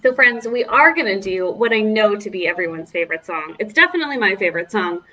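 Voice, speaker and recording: A woman's voice: mid-range voice, animated, quick pace, pushed and bright, muffled recording. A woman children's librarian leading a preschool storytime, speaking to young children in an encouraging, exaggerated way.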